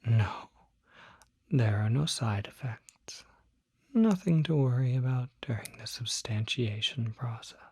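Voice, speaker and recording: A performer voicing a calm, courteous demon doctor in an ASMR roleplay, whispering softly with a measured, reassuring, formal bedside manner. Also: mid-range voice, no room sound. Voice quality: breathy, whispery.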